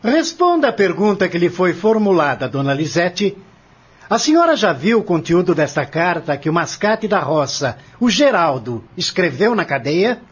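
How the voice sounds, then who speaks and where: A man plays a stern Brazilian courtroom examiner in a radio play. His voice is high for a man and swings widely, with a raspy edge. He questions formally and insistently on a slightly muffled recording. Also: sing-song, normal speed, exaggerated voice. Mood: probing. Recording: dull, lo-fi audio.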